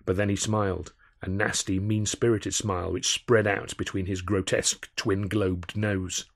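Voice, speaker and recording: A man reading a scripted first-person comic adventure, wry and expressive as a storyteller. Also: low voice, normal speed, gravelly, no room sound, clean studio sound. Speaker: author narrating own audiobook.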